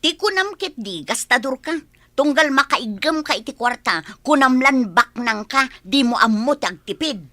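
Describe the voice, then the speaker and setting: A woman's voice: high-pitched, expressive, moderate pace, bright, forceful tone, no echo. An actress with a Filipino accent playing a thrifty, frustrated young working woman who complains emphatically in a radio drama. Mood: nagging. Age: young adult.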